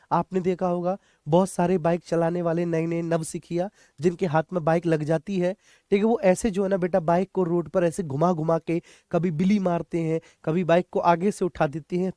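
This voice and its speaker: A coaching teacher with a mid-pitched voice in a dry, close recording. The delivery is a classroom lecture that repeats key points, puts questions to students and addresses them like children. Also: warm tone, no room sound. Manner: repeats key points, often checks understanding. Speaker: teacher, exam coaching instructor.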